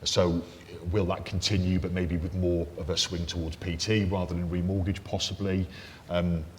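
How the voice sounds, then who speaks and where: Deep-voiced man on a panel. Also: flat delivery, moderate pace. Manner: conversational, hedging with fillers. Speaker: mortgage lender panelist. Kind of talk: panel discussion. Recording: echoey hall, faint noise.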